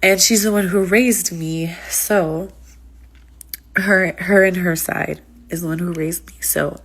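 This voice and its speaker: A woman's voice: fairly low pitch, fairly bright tone. A congested woman from the United States chatting casually on a live stream, rambling with lots of "like" and "um". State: congested, sick with sinus trouble. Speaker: streamer answering chat.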